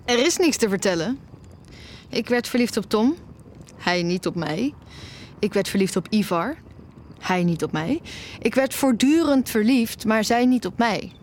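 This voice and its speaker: A woman's voice: fairly high pitch, expressive, unhurried, darkish tone, a little hiss or hum. A young woman playing a wry, lovesick music student, confiding informally in an audio drama. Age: young adult. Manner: confessional, informal, self-mocking. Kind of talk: audio drama narration and dialogue.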